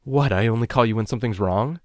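Audiobook role: anxious, lost son acted by a male reader, pitch lifted, pleading and faltering, dry sound. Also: quick pace, darkish tone, no echo, close to an everyday voice. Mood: forced casual. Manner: hesitant, pleading, emotional. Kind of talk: audiobook dialogue.

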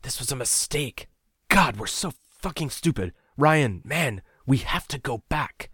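A man's voice: mid-range voice, big pitch swings, brisk, slightly rough, no room sound, studio quality, voice pushed up. A man reading a story aloud, voicing a frightened, angry young man who pleads, accuses and swears often. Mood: panicked, furious.

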